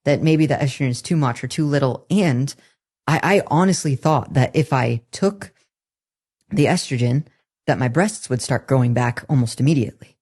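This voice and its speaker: Young woman, deep low voice, earnest, candid, halting restarts, podcast guest. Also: a little mellow, husky. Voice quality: somewhat deep, masculine-leaning voice. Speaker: interview guest. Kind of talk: personal testimony in a podcast interview.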